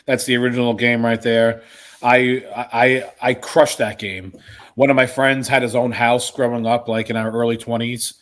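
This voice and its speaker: A man's voice: mid-range voice, flat delivery, normal speed. A man chatting casually and enthusiastically as a podcast panelist. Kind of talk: casual chat.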